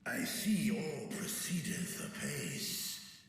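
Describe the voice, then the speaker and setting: A man playing a grave scholar in a fantasy video game, speaking in a deliberate, formal, archaic way. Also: on the high side, very animated. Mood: measured approval. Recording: very echoey hall reverb.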